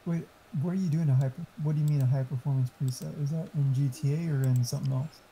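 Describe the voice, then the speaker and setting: Mellow man in casual, sweary chat. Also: mid-range voice, fairly even intonation, quick pace, slight background noise, poor audio.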